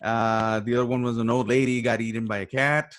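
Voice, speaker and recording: Halting man in casual podcast chat. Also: medium pitch, level tone, very noisy. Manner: halting, repeats words and phrases. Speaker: podcast guest, writer and voice actor.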